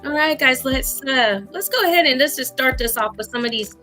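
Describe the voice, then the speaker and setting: A woman hosting a casual adult chat show, hoarse and deep-voiced from being sick, laughing and coughing as she talks. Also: expressive, a little fast, pushed and bright, soft background music. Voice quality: deep, rough voice. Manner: laughs often, coughs between lines. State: hoarse from illness. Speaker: host.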